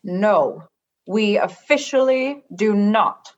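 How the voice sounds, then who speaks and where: Stern, cryptic female alien high leader for sci-fi radio comedy, acted by a woman. Formal, slow, unbending; slightly dull lo-fi sound. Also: lively intonation. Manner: formal, measured, literal, unbending.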